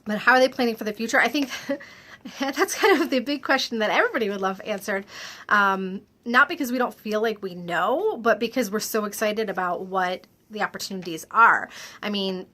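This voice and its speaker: A woman with a stuffy, slightly nasal voice, reading out viewer questions and answering them in a chatty video, often pausing with "um". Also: mid-range voice, pushed and bright. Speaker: direct-sales clothing consultant. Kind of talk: casual Q&A vlog.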